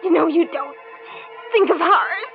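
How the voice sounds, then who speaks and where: A woman's voice: very high voice, normal speed. An actress playing a terrified woman pleading breathlessly with a murderous former lover in 1940s radio horror style. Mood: frightened pleading. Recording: muffled old 1940s radio broadcast with music.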